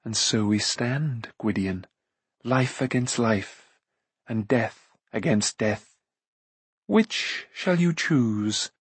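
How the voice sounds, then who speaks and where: A haughty sorceress, acted out by a male narrator in an audiobook, cold and cunning. The speech is formal and commanding, with slow, drawn-out threats. The recording is close and dry. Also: mid-range voice, expressive, breathy edge, dry recording. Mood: harsh, threatening. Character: imperious, cunning, cruel female enchantress, coldly threatening. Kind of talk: audiobook character acting.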